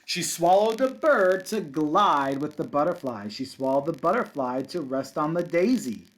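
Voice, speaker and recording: Man with a lively, higher-pitched storytime voice. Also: normal speed, a little hiss or hum. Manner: encouraging, simple talk addressed to young children, sing-song reading of rhymes. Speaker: kindergarten teacher reading a picture book aloud. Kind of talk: children's storybook read-aloud with casual asides.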